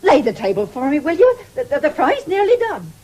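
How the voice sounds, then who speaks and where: An actress in a 1970s radio drama playing a stern, stubborn farming widow who is blunt and forthright. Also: female voice, high voice, sing-song, a little fast, a little mellow. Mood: subdued, resigned. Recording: noisy old radio drama recording.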